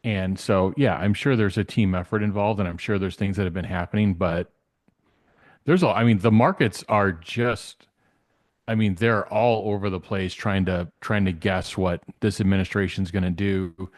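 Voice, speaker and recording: Male guest commentator, lowish pitch, dry sound, conversational, halting as he rephrases. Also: fairly even intonation, no echo. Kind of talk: casual off-the-cuff commentary.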